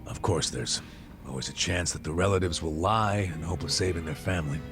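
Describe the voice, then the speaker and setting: An American man acting a gruff, regretful, middle-aged ex-cop in a video game cutscene, blunt and streetwise with a gravelly voice. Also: low voice, a little fast, music in the background, natural voice. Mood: grave, confiding.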